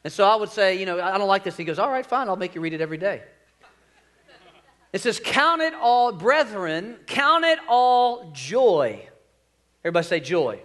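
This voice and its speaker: Man, 40s, senior pastor. High-pitched, folksy, joking sermon delivery from a church stage. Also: sing-song, normal speed, a bit bright. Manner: folksy and joking, talks to the crowd. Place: large church stage with screens.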